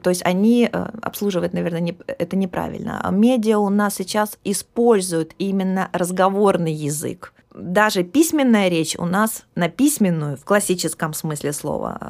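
Measured, explanatory middle-aged woman. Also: medium pitch, darkish tone. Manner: measured, explanatory, loses her thread at times. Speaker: philologist guest, department head. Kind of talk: podcast interview.

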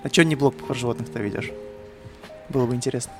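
A man's voice: medium pitch, fairly even intonation, fast talker, over music. A man hosting a casual podcast chat in a teasing, jokey manner. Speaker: podcast host.

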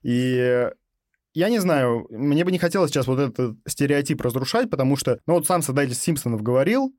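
Young guy chatting on a podcast, talks fast, dry sound. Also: mid-range voice, lively intonation, a little mellow, dry recording. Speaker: podcast host. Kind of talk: casual panel discussion.

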